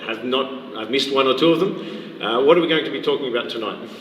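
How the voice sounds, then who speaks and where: A man with a medium-pitched voice hosts a casual livestreamed faith chat. He talks informally, often repeating and confirming. He sounds a little away from the mic in a very echoey space. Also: a little fast, fairly bright tone. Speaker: host, interviewer, missionary. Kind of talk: casual live interview chat. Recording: echoey hall, distant mic, faint noise.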